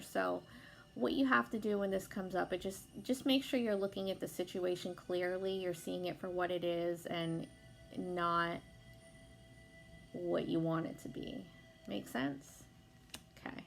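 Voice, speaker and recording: A young woman with a hoarse voice from being sick, chatting casually as she gives a tarot reading, with lots of fillers and some swearing. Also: lowish voice, steady tone, unhurried, soft background music. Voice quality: hoarse, gravelly. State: sick, losing her voice. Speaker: tarot reader.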